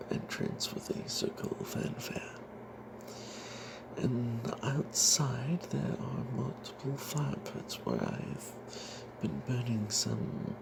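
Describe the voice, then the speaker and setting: A man narrating a first-person fantasy story as ASMR in a breathy whisper, hushed and halting, with wry asides. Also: medium pitch. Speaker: storyteller narrating own tabletop character. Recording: noticeable background noise.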